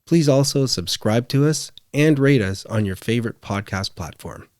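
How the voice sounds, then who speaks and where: A man's voice: medium pitch, lively intonation, normal speed, a little mellow, no room sound. A man hosting a podcast, delivering a scripted show outro. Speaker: podcast host.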